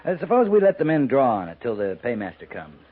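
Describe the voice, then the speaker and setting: An American actor playing a plainspoken, dryly patient army captain in a 1950s radio Western, laconic and wry. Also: male voice, mid-range voice, sing-song, fast talker, husky. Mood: probing, casual. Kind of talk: 1950s radio drama acting. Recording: tinny, noisy 1950s radio recording.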